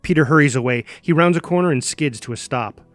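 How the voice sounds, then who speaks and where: A man with a fairly high voice reads action-script stage directions. His delivery is clipped, punchy and dramatic, over light background music. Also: fast, fairly bright tone. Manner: punchy, clipped delivery with exclaimed sound words. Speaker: stage-direction narrator at a table read. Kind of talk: dramatic scripted narration in present tense.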